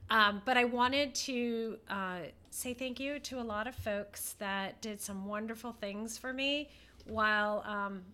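A woman co-hosting a podcast in casual chat, stuffed up with a head cold so she sounds a little nasal, talking with plenty of fillers and pauses. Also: fairly high pitch, bright, forceful tone, clean tone. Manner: chatty, with many fillers and pauses. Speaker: podcast co-host.

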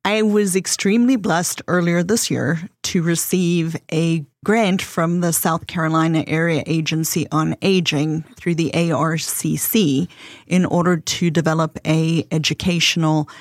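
A woman with a light South African accent explains her work in an earnest, measured way. Her voice sits fairly low for a woman. The recording is dry and close. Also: fairly even intonation, no echo. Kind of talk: interview answers.

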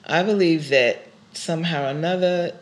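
A woman, a singer speaking as an interview guest in a measured, thoughtful way. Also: low-pitched. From United States. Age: 60s. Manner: measured, thoughtful, spiritual phrasing. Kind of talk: reflective conversational interview.

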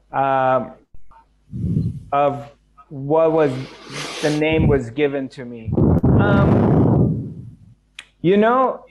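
A man with a slightly raised voice talks slowly and thoughtfully, stopping often to find his words. He answers questions about meditation over a video call. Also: a little high, rough recording. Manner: slow, reflective, hesitant with many fillers. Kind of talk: casual spiritual Q&A.

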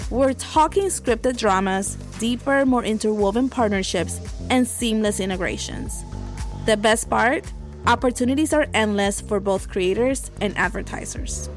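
A woman's voice: fairly high pitch, unhurried, faint music behind. A woman hosting a podcast in a scripted narration style. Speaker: podcast host.

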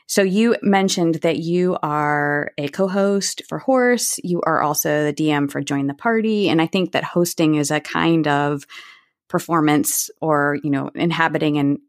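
A woman hosting a podcast interview, speaking in a thoughtful, measured, conversational way. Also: sits low, no room sound, clean studio sound.

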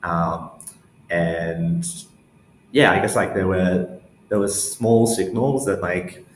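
Low-voiced man in a roomy space. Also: takes it slowly. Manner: hesitant, meandering, heavy use of "like". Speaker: interview guest, newsletter founder. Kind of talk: casual interview answers. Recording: roomy echo with faint background noise.

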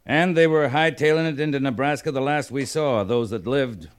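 An actor playing a wry, world-weary cavalry captain in a radio western, speaking in a dry, laconic, sardonic way. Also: male voice, medium pitch, moderate pace, close to an everyday voice. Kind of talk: 1950s radio western acting. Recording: noisy old radio recording.

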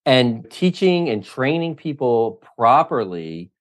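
A man's voice: mid-range voice, sing-song, slow. Emphatic, opinionated male triathlon coach. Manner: emphatic, opinionated, lectures with lists. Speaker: triathlon coach, podcast guest. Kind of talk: interview answers.

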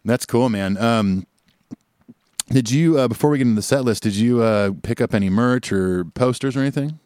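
A man's voice: medium pitch, moderate pace, a little mellow, smooth, no room sound, studio quality. A man hosting a podcast, chatting casually and enthusiastically, often calling his guest "man". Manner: laid-back, enthusiastic, says "man" a lot. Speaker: podcast host.

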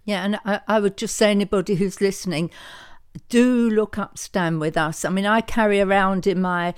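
A woman's voice: medium pitch, fairly even intonation, moderate pace, clear, resonant tone. A woman co-hosting a podcast, chatting casually and encouragingly with her guests. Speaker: podcast co-host. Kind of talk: casual chat.

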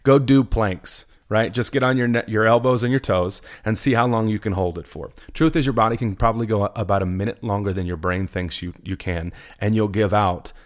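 A middle-aged man, a pastor, talking in a relaxed conversational way, earnest and a little preachy, who keeps asking "right?". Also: fairly low pitch, brisk, few pauses. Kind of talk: conversational podcast talk.

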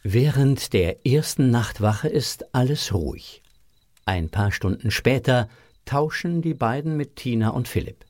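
Guy narrating a kids radio play, slow measured storytelling, really dry close recording. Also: mid-range voice, animated, a little mellow, dry recording. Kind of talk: scripted children's audio drama narration.

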